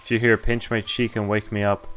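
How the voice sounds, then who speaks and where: A man's voice: fairly low pitch, flat delivery, a little fast, fairly bright tone, smooth, rough recording. A man streaming a game, reading its story text aloud in an informal way. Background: game music.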